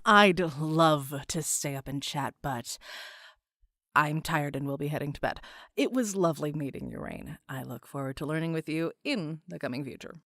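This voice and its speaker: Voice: medium pitch, moderate pace, bright, forceful tone, dry recording. A performer playing a composed, reassuring young trainee in an audio drama, polite and formal in phrasing.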